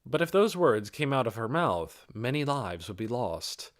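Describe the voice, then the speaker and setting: A man's voice: mid-range voice, sing-song, a little slow, dry recording, studio quality. A man reading scripted fantasy fiction as an audiobook narrator.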